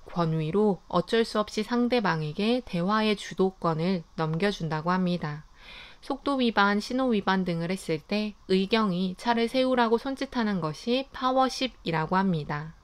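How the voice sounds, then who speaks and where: This is a woman narrating a book review from a script. Her voice is smooth and resonant, at an ordinary middle pitch. She reads calmly and evenly, with no hurry. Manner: calm, even reading of summaries and reflections.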